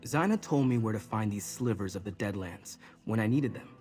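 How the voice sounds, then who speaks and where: A steady male mage voiced by an actor speaks in a lowish voice over light music. He is calm and earnest, guiding companions through a fantasy game quest. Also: a little fast, close to an everyday voice. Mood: earnest, reassuring.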